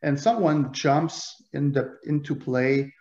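A man's voice: a little high, slow pace. A man whose first language is French, an author telling stories in a casual interview, full of analogies and fillers. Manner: animated storyteller, uses analogies, frequent fillers. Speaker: author and guest. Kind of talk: conversational interview. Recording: echoey, dull lo-fi audio.

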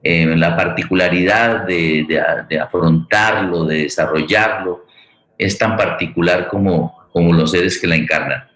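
An echoey, roomy recording of a Colombian man with a low voice. He hosts an interview conversationally, often halting and repeating small words while he searches for a phrase. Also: fairly bright tone. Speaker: host.

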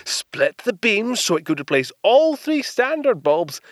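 An actor with a British accent playing a proud, genteel 1930s inventor in a period audio drama, speaking with clipped, old-fashioned courtesy. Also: male voice, high-pitched, very animated, a little slow, no echo, studio quality. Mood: wry, resigned.

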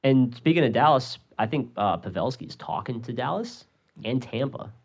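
A man's voice: mid-range voice, lively intonation, normal speed, husky. A man with a cold co-hosting a casual podcast, swearing freely in loose banter. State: sniffly from a cold. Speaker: podcast co-host. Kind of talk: casual chat.

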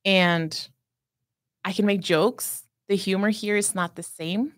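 A woman's voice: mid-range voice, fairly even intonation, slow, dry recording, studio quality. A woman from Mexico, a pastry chef telling stories as a podcast guest, chatty and self-deprecating. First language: Spanish. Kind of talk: casual interview chat.

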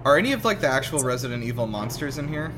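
A man giving casual, excitable, sweary commentary as host of a film reaction show. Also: mid-range voice, fast talker. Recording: music playing behind. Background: film soundtrack music.

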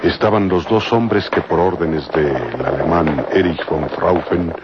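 A man's voice: sits low, a little slow, heavy background noise. A man acting as the grave storyteller of an adventure radio serial, reading scripted narration dramatically. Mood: grave, dramatic. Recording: muffled old radio recording with loud music.

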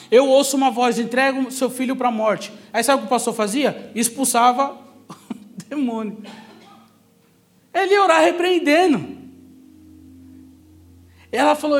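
A man with a Brazilian São Paulo accent preaching a sermon through personal testimony, conversational but emphatic, repeating key phrases for effect. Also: very high voice.

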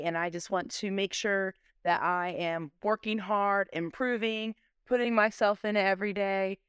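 A woman gives interview answers as a sports coach. Her voice is strikingly clean and smooth, at a middle pitch, in a dry recording. She speaks in emphatic, driven phrases that repeat and build. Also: a bit bright, dry recording.

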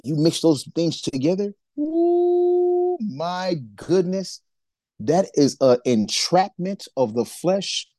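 A man from Nigeria co-hosting a faith-based podcast, talking in an animated, preachy way and acting out the voices he quotes. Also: high-pitched, slow, no echo. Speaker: podcast co-host.

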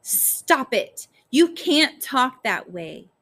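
A woman's voice: sits high, big pitch swings, drawn-out words, a bit bright, husky, pitched above the performer's own voice, exaggerated voice. A woman voicing a determined, desperate young girl in an audiobook, insistent and urgent. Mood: angry.

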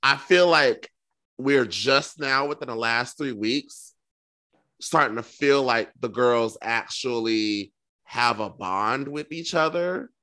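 Male co-host, bright and punchy, campy and gossipy, casual conversational delivery. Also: medium pitch, takes it slowly. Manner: sassy, campy slang. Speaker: podcast co-host. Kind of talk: casual gossipy chat about reality television.

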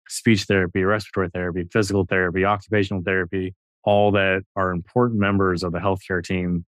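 A man's voice: low-pitched, dry recording. A man in his thirties, a guest radiologist assistant, making long earnest points in a podcast conversation. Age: 30s.

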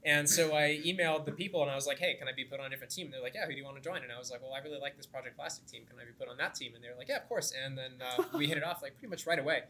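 A man's voice: medium pitch, racing, few pauses, sharp tone, low-quality recording. A young man in his twenties from Florida, a graduate student co-founder chatting casually and explaining at length with fillers. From Florida, United States. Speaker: grad student startup co-founder. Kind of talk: casual interview talk.

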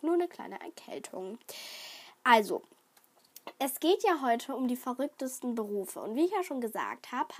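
A young woman with a cold and a slightly stuffy voice, chatting casually and rambling on her solo podcast with lots of fillers. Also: on the high side. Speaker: podcast host.

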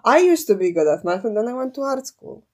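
A woman's voice: fairly high pitch, sing-song, fast talker. A young adult woman co-hosting a podcast, chatting casually and off the cuff in a rambling, sweary, informal way. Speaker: podcast co-host. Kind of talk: casual unscripted chat.

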